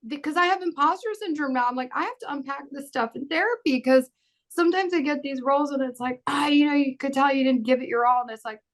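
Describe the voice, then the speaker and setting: A woman in her thirties talks here, a fairly high-voiced actor on a panel who grew up in Bahrain. She chats in a lively, casual way, often slipping into little acted-out bits of dialogue and laughing between thoughts. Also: fast. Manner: animated, laughs often, acts out quoted dialogue.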